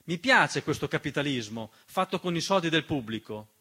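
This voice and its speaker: A man performing a dramatic political theatre monologue in an emphatic, rhetorical manner with deliberate repetition. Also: fairly high pitch, expressive, bright, forceful tone, slightly rough. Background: piano accompaniment.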